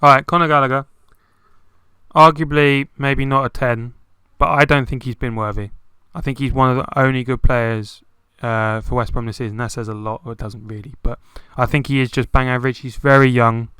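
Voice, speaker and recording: A young man chatting casually about football, dropping "like" into nearly every phrase. His voice is bright and very clear. The sound is dry and close, with little room. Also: mid-range voice, steady tone, brisk, no room sound. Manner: chatty, many "like" fillers. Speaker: podcast co-host.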